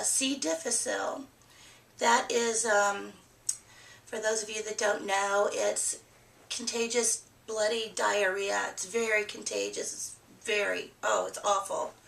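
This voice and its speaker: A middle-aged woman chatting candidly to her webcam in a casual personal vlog, hoarse from a cold and laughing easily. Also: medium pitch, sharp tone, echoey room, far from the mic. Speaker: vlogger. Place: small room with a plain green wall.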